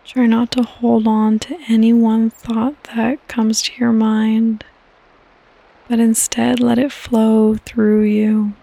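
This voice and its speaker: A woman hosting a guided sleep meditation in a soft, breathy, partly whispered voice, with calm, gentle instructions and long pauses. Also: a little high, no echo. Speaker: podcast host and meditation guide.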